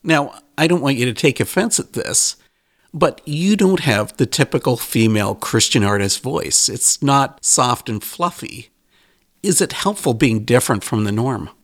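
A man's voice: medium pitch, lively intonation, measured pace. A man hosting a podcast interview, asking frank but courteous questions. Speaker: podcast host.

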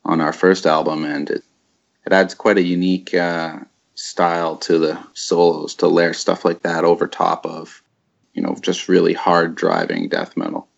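Man, very deep and gravelly, easygoing interview talk, dull lo-fi audio. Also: lively intonation, moderate pace. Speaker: band guitarist and vocalist. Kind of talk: casual interview chat.

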